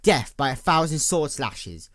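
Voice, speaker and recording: Man voicing female pony, embittered former student, brooding, intense, sweeping pitch, dramatic storybook delivery. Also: big pitch swings, unhurried, fairly bright tone, no room sound, clean studio sound. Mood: anguished, intense.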